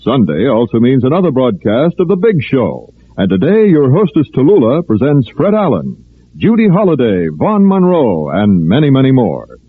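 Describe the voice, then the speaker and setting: A man's voice: medium pitch, huge pitch swings, normal speed, breathy edge. A man reading scripted promotional copy as a polished 1950s network radio announcer. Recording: narrow phone-like vintage radio recording.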